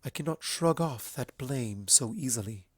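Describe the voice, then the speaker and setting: A gentle royal mare, acted by a man from a fantasy storybook. The delivery is soft and sorrowful, with formal wording. It is recorded dry. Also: medium pitch, expressive, normal speed, husky, no room sound. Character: gentle, sorrowful sun princess mare, self-blaming.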